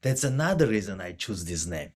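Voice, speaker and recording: A man's voice: lowish voice, big pitch swings, fairly quick, a little mellow. A man who works as a financial advisor, a guest on a podcast, explaining things in an earnest, careful way. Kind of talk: conversational interview.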